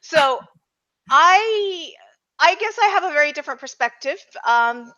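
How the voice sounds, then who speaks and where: A woman's voice: sits high, expressive, a little slow, sharp tone. A woman speaking as a podcast panelist, discussing film casually in an opinionated, emphatic way. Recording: dull lo-fi audio.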